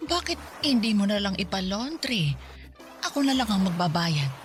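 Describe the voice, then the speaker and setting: A woman's voice: medium pitch, sing-song, moderate pace, faint music behind, natural voice. An actress playing a doting, indulgent girlfriend in a Tagalog radio drama, babying her lover with soothing, cooing talk. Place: studio recording with music behind.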